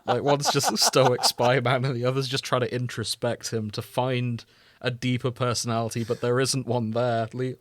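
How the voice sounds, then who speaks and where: A man's voice: medium pitch, moderate pace, dry recording. A man co-hosting a podcast in casual banter, chatting informally and swearing now and then. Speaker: podcast co-host.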